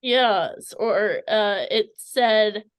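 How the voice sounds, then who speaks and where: Woman, interviewer, casual, tentative, rambling, stumbling phrasing, medium pitch. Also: very slow, clean tone.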